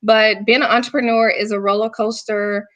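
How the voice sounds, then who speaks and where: A woman in her thirties from North Carolina with a Southern accent, answering interview questions in a laid-back, unhurried way as a guest firearms instructor. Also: fairly high pitch, level tone, a bit bright. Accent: Southern American.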